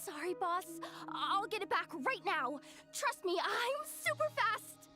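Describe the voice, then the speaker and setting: A woman voicing an excitable, childlike young girl in an anime-style video game, exclamatory and easily flustered. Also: very high-pitched, measured pace, full character voice. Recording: game music underneath.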